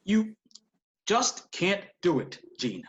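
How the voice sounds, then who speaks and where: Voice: mid-range voice, very animated, takes it slowly, highs cut off, a little hiss or hum. An actor playing a blunt, stern, profane studio executive in a screenplay table read. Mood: disapproving.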